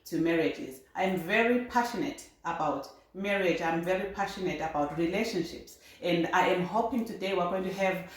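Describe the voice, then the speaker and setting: Low-voiced woman, very echoey. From Zimbabwe. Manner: measured, with frequent ums. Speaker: guest. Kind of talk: talk show conversation. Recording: very echoey room.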